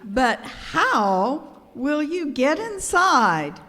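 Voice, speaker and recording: A woman's voice: sits high, big pitch swings, very slow, bright, forceful tone, full character voice. A woman playing an earnest, helpless ship's captain in an amateur comic radio skit, with exaggerated wide-eyed delivery. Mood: worried. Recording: reverberant hall, live recording.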